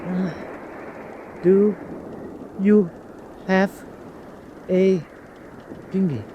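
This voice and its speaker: Nervous young submarine officer, high-pitched, earnest, dutiful, radio drama, faint background noise. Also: male voice, steady tone, drawn-out words, dull audio. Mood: uneasy, dutiful. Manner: hesitant, earnest, respectful. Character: anxious, dutiful young male U-boat lieutenant. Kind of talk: vintage-style radio drama acting.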